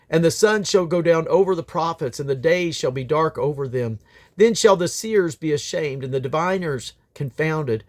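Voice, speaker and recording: A man reading aloud from the Bible as a scripture reader, sometimes halting and correcting himself on difficult words. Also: mid-range voice. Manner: halting over difficult names, stumbles and self-corrects.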